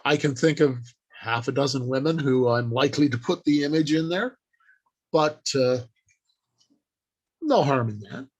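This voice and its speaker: A man giving an instructional talk as a workshop speaker, deliberate and emphatic, speaking in short declarative phrases with pauses. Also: mid-range voice, animated, breathy edge.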